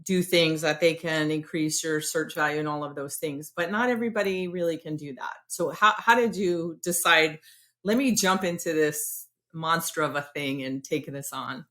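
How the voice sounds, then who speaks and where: A woman hosting a podcast interview, talking in an excitable, rambling, self-deprecating way. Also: deep voice, normal speed. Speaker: podcast host. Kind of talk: casual interview chat.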